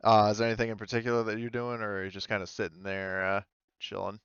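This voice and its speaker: Male game master, low smooth voice, casual halting narration, close dry muffled mic. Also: little pitch movement, fairly bright tone, dry recording.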